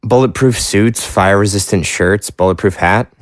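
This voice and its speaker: A man's voice: lowish voice, moderate pace, dry recording, studio quality, close to an everyday voice. Kevin, a man playing a restless teen son. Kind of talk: audio drama acting.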